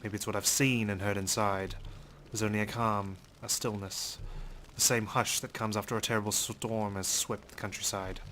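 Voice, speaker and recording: A man's voice: on the low side, a bit bright, slight background noise. A man reading visual novel text aloud as dramatic first-person narration. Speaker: let's play host reading game text aloud.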